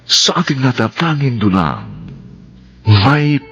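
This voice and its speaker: Slow, grandly swooping male radio announcer. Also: medium pitch, very animated, a bit bright, husky, dull audio. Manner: grand, theatrical, deliberate. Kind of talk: formal scripted drama introduction. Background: music.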